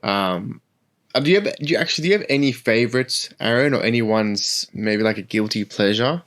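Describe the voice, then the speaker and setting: A man with a fairly low voice hosts a podcast. He talks casually, putting questions and remarks to the others. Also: animated, a little fast, a bit bright. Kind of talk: casual group chat.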